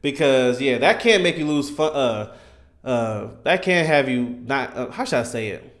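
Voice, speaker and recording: A man in his thirties giving casual, enthusiastic fan commentary in an animated, emphatic, slangy way. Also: mid-range voice, normal speed. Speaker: gaming content creator.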